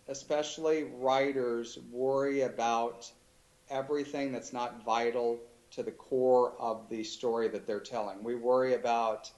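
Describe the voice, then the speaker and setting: A man being interviewed as an author, talking in a thoughtful, halting way with many restarts. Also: medium pitch, darkish tone, muffled recording. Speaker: author guest. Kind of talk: casual interview chat.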